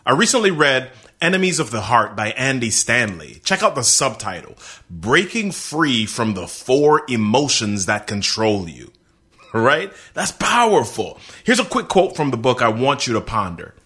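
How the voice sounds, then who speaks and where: A man hosts a podcast in an upbeat, emphatic way, talking straight to his listeners. His pitch swings widely, and his tone is bright and forceful. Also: big pitch swings, normal speed. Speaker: podcast host. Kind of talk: podcast hosting and interviewing.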